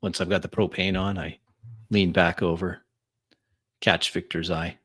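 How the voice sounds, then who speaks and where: A man playing a tabletop roleplay game, narrating his actions in first person in halting pieces. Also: low-pitched, flat delivery, a bit bright, slightly rough.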